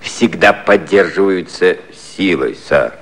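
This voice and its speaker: Formal, animated American envoy. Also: male voice, low-pitched, takes it slowly, exaggerated voice. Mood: firm, official. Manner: formal, firm, declamatory. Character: brisk, official American envoy, firm and formal. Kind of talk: satirical 1950s Soviet radio play acting.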